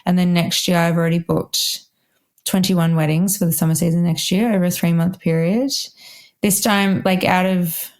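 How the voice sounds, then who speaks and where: A woman's voice: fairly low pitch, steady tone, normal speed, a little mellow. A young woman with an Australian accent, chatting upbeat and casually as an interview guest, with lots of "like" fillers. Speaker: guest, wedding violinist.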